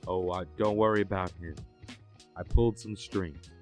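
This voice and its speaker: A man playing a composed superhero agency official in an audio drama, speaking in a measured, formal and assured way. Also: deep voice, fairly even intonation, darkish tone, faint music behind, natural voice. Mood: composed, businesslike. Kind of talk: scripted audio drama acting.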